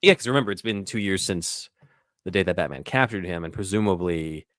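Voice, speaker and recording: A man with a very low voice hosts a casual film podcast. He explains things scene by scene in a halting, conversational manner. The sound is dry and close. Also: fairly bright tone, no echo. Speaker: podcast host.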